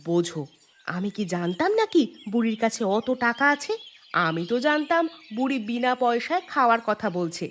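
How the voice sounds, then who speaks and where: A woman's voice: fairly high pitch, sing-song, normal speed, a little hiss or hum. A woman voicing a nagging, demanding village wife in a cartoon, scolding and insistent. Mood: defensive. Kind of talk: Bengali cartoon dubbing.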